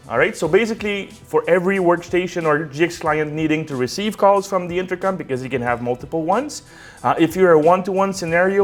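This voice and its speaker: A man with a slightly high voice walks through a technical setup step by step. He speaks off the cuff in a conversational, explanatory way, punctuating his points with short check-ins. Music plays behind him. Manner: conversational, explanatory, often checks with "okay" and "all right". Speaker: technical support director presenting a tutorial. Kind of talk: unscripted step-by-step software tutorial.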